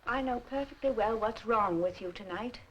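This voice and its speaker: An actress playing a middle-aged, sharp-tongued, mocking aunt in a radio drama, haughty and articulate. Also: female voice, fairly high pitch, normal speed, slight background noise, close to an everyday voice, poor audio. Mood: accusing, firm. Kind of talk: old-fashioned radio drama acting.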